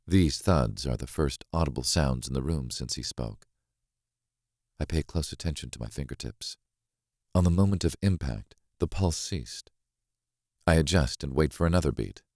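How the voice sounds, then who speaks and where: A man narrating a thriller novel as a grave, watchful witness, measured and deliberate in his delivery. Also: rumbling low, a little mellow, no echo, clean studio sound. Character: grave, watchful man recounting a horrific stage act. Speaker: audiobook narrator.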